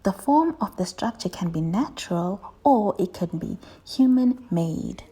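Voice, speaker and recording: A woman's voice: medium pitch, expressive, unhurried, mellow, slightly rough. A woman voicing an eager, chatty dragon doctor puppet, teaching little children and often repeating words as she explains. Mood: eager, instructive. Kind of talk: educational puppet show for children.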